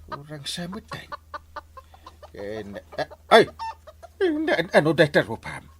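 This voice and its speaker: A man's voice: on the high side, wildly swooping pitch, a little slow, heavily acted. A man with an Ilocano Filipino accent playing a henpecked, bumbling village captain, stammering and exclaiming in an amateur comedy skit. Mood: flustered. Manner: stammering, full of startled exclamations.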